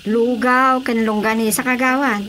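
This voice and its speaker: A woman's voice: fairly high pitch, fairly even intonation, normal speed, crowd noise, lightly acted. A woman with an Ilocano accent playing a deferential, coaxing porridge vendor in a radio drama comedy. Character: eager, deferential woman selling porridge at market.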